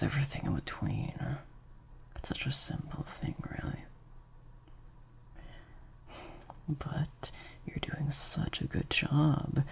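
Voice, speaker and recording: A man playing a slightly sadistic vampire master in an improvised audio roleplay, softly taunting and praising in a breathy, creaky near-whisper. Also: mid-range voice. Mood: amused, sadistic. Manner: slow, drawn-out, softly taunting.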